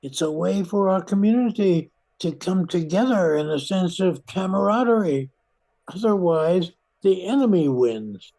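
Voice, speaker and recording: An older woman playing a steady retired scientist in an amateur radio play, measured and reassuring. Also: on the low side, rough recording. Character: retired scientist, steady, civic-minded candy maker. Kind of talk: amateur old-time radio play acting.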